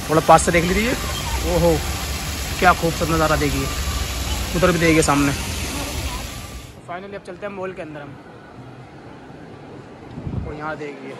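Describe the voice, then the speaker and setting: A man giving a casual walking tour of a shopping mall, narrating into a phone camera and pointing things out as he walks. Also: a little high. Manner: repeats "dekhiye" constantly, pointing things out. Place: outdoor mall plaza, then inside a large shopping mall. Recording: loud music and crowd noise behind.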